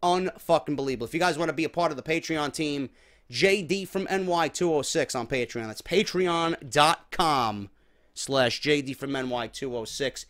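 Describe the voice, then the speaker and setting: A man's voice: on the high side, normal speed, a bit bright. A man with a New York accent hosting a podcast, chatting casually to viewers in an informal, emphatic, sweary way. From New York, USA. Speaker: podcast host.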